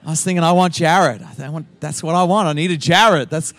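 A man's voice: fairly high pitch, fairly quick, a bit bright, no echo. A middle-aged man with an Australian accent, a guest pastor opening his sermon with playful teasing and frequent laughter.

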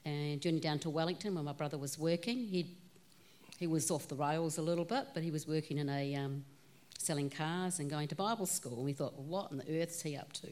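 A woman's voice: sits low, fairly quick, a little mellow. A woman with a New Zealand accent, telling her conversion story as a guest at a church. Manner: plainspoken and conversational.

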